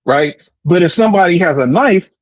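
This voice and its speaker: An American man in a casual debate, explaining in an animated way, repeating words and often checking with "right?". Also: sits high, fairly quick, dry recording, dull audio. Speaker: discussion participant answering questions.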